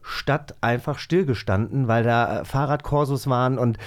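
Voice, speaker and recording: Chatty guy co-hosting, medium pitch, casual, pokes fun at himself. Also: moderate pace. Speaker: podcast co-host. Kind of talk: casual magazine talk.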